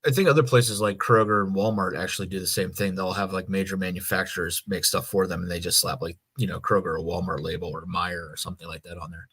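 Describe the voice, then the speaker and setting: A man's voice: low-pitched, steady tone, fast, few pauses, fairly bright tone, husky. An American man co-hosting a podcast, talking in a casual, conversational way. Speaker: podcast co-host.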